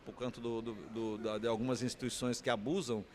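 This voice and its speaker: A man's voice: mid-range voice, flat delivery, fairly quick. A man with a Brazilian Portuguese accent giving an unscripted interview answer, explaining and often posing rhetorical questions. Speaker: interviewee, communications academic.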